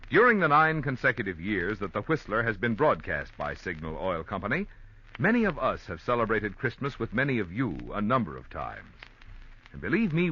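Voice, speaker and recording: Bright, animated American radio announcer man. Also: lowish voice, breathy edge. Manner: formal, polished. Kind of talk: 1940s radio sponsor announcement. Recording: muffled old radio recording.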